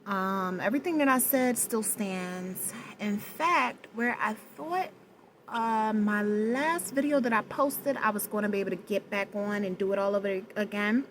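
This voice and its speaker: Slow, hesitant woman with a low voice. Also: a little hiss or hum. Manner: hesitant, many ums and restarts, slow and searching. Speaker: vlogger. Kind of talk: personal confessional vlog. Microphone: no external mic, camera mic.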